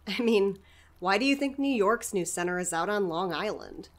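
Actress as enthusiastic female center director, mid-pitched and very animated, pitching ideas in an audio drama. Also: moderate pace, close to an everyday voice. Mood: eager, persuasive. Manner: expansive, persuasive, eager to pitch ideas. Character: chatty, enthusiastic woman running a community center.

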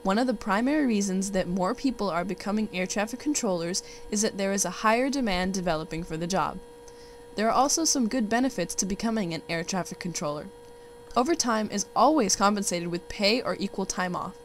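A young woman, a student narrator, formally reading out facts from a documentary script. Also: mid-range voice. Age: young adult. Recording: faint background hum and noise.

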